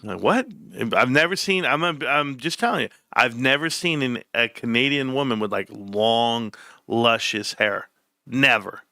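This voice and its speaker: A Southern Californian man with a bright, forward voice and a gravelly edge hosts a casual live stream. He talks in slangy, teasing banter, joking as he goes. The sound is close and dry. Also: medium pitch, a little slow, no echo. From California, United States.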